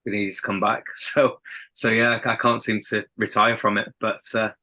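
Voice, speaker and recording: A man's voice: fairly low pitch, little pitch movement, moderate pace, pushed and bright. A young man with a faint Sheffield accent, a band's lead singer chatting as a guest in a casual podcast interview, rambling and laughing often. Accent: Sheffield, softened. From England.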